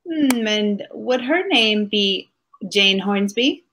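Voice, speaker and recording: A woman's voice: medium pitch, animated, slow, fairly bright tone, heavily acted. A middle-aged woman playing a cool, dryly witty detective's wife in 1940s radio comedy style. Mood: cool, probing. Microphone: seated before camera on video call.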